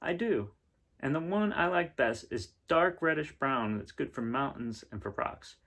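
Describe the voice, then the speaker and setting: A man's voice: mid-range voice, expressive, unhurried, a bit bright. A man voicing an opinionated, bossy little farm girl from a storybook, emphatic and matter-of-fact. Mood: decided. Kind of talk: storybook read-aloud.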